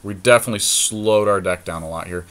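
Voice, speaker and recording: A man's voice: lowish voice, fast, a bit bright, clean tone. A man co-hosting a card game podcast, thinking aloud in a casual, analytical way as he talks through his options step by step.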